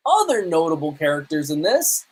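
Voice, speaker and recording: A man hosting a podcast, reviewing movies casually in an emphatic, halting way with pauses for effect. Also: on the high side, wildly swooping pitch, slightly rough. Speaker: podcast host.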